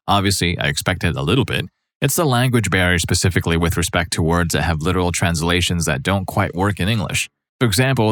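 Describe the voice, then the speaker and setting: A man narrating a series of forum stories in a steady, even reading voice. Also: low-pitched, dry recording, studio quality. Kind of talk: scripted narration.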